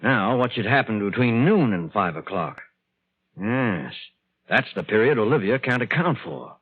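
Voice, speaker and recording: An actor playing a thoughtful, questioning schemer with a husky voice in a 1940s radio drama. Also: male voice, on the low side, sing-song, unhurried, no echo, heavily acted. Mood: musing. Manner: musing, questioning. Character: thoughtful male schemer puzzling out a crime. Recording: dry, tinny 1940s radio recording.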